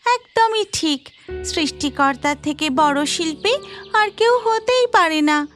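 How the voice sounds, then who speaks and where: A woman's voice: very high-pitched, lively intonation, slow, a bit bright, soft background music, exaggerated voice. A woman voicing a cheerful young tailor fairy, polite and full of exclaiming wonder, in a children's cartoon. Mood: inspired.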